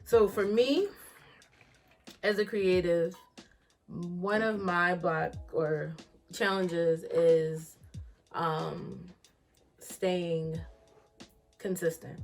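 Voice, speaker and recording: A woman in her late twenties from Philadelphia with a Philly accent, hosting a casual chat in a blunt, profane, animated way and laughing often. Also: on the low side, drawn-out words, long pauses, soft background music. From Philadelphia, USA. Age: 20s. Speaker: podcast host.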